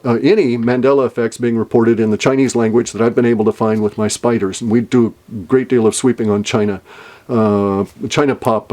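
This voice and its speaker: A man's voice: medium pitch, darkish tone. An older man hosting a solo talk, rambling and speculative, with many fillers and restarts. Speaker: podcast host. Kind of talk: casual solo monologue.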